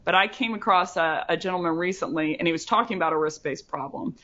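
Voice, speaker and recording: Podcast co-host, female, bright forceful tone, chatty, dull lo-fi sound. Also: on the low side, fast talker. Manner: chatty, lots of fillers like "like" and "you know". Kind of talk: casual podcast chat.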